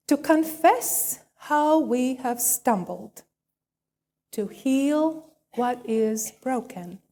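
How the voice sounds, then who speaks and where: A woman minister speaking in a measured, deliberate way as she leads a worship service and reads liturgy. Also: high-pitched, mellow. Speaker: minister leading a church service. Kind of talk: sermon and liturgical reading.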